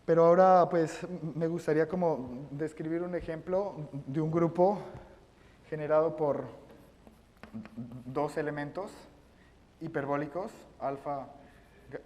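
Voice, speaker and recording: A man giving a math lecture, halting and often repeating his words. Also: fairly high pitch, fairly even intonation, darkish tone, lo-fi sound. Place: lecture room. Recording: reverberant lecture hall.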